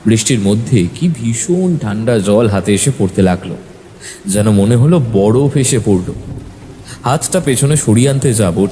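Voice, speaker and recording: A man narrating a first-person horror story in a suspenseful, expressive, dramatic way. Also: mid-range voice. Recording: faint background noise.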